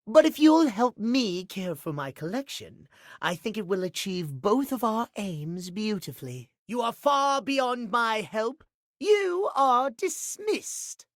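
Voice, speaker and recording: A prim and genteel game character, a fussy clock gentleman with a high, expressive voice. His diction is formal and precise, unhurried and full of swooping emphasis. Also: dry recording, clean studio sound, exaggerated voice. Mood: offended, prim. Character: fussy, genteel, punctual clock gentleman doting on pocket watches. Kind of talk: video game character acting.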